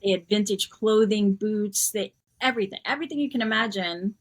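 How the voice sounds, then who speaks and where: A woman's voice: mid-range voice, fairly quick. Friendly, rambling middle-aged woman guest. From New York. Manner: friendly, rambling, laughs often. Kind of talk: casual interview chat.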